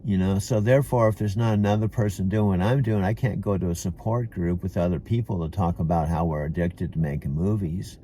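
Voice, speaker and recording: Older Californian guy, deep and very mellow, rambling self-talk in his parked car, keeps calling himself dude. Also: steady tone, fairly quick, hardly any pauses, slight background noise. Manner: talks to himself as "dude", many restarts. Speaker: vlogger.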